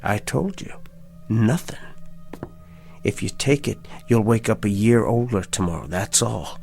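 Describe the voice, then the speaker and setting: A smooth-talking schemer in a tv drama, low crackly voice, slow deliberate pitch, faint music behind. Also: male voice, expressive, darkish tone. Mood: coaxing, persuasive. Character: calculating, quietly persuasive man bargaining in years of life. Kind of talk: television drama acting.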